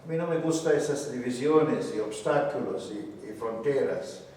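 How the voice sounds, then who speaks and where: A poet answering audience questions in a public talk, speaking slowly and hesitantly with many restarts, Spanish not his first language. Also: medium pitch, a little mellow. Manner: slow and halting, with many restarts. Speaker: invited poet. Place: large hall with an audience. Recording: echoey hall from far off, faint hiss.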